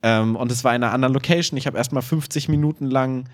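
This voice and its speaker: A man talks as a podcast co-host in a loose, conversational style. He has a medium-pitched voice and a playful, joking manner, like someone at ease explaining things to a colleague. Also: quick pace. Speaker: improv theatre teacher. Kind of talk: casual podcast chat.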